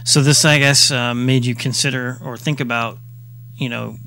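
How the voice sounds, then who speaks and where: A man's voice: medium pitch, fairly even intonation, normal speed, bright, forceful tone. A man hosts a faith radio show, reacting to his guest briefly and asking leading follow-up questions. A faint electrical hum sits under his voice. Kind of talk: interview questions.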